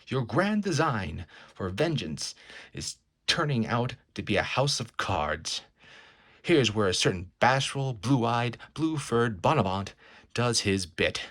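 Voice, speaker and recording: Man doing a slow, teasing beast hero. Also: on the low side, lively intonation, fairly bright tone, heavily acted. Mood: taunting. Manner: flowery, mock-polite taunting. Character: blue-furred male beast hero, wordy, teasing, playful. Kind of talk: comic book read-aloud acting.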